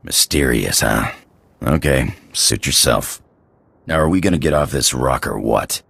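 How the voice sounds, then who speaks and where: A man playing a gruff, cocky, hot-tempered space pirate pilot in a video game, with a gravelly voice and rough slangy talk. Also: a bass voice, a little slow, fairly bright tone, dry recording, full character voice. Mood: exasperated. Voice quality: gravelly, husky.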